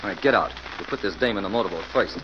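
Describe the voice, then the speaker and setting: A man's voice: fairly low pitch, expressive, racing, lightly acted. An actor playing a ruthless male gangster in a 1940s radio crime drama, talking in curt, tough slang. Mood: brisk, menacing. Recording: muffled old radio recording, light noise.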